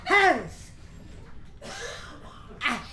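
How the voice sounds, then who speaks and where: A woman playing a stage player who delivers rehearsed lines in a halting, overdone way in a radio play. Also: high voice, very animated, sharp tone, slight background noise, exaggerated voice. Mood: stilted, dramatic.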